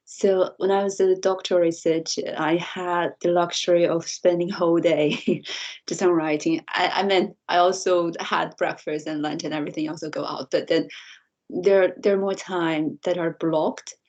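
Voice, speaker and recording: A woman's voice: on the low side, a little mellow, muffled recording. A woman whose first language is Mandarin, a lecturer answering interview questions thoughtfully, with frequent light laughter and self-corrections. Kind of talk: reflective podcast interview answer.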